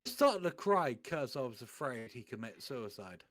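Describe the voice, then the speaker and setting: A man reading fan fiction aloud on a stream in a comic dramatic style, swearing and halting over misspelt words. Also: mid-range voice, sing-song, fairly bright tone.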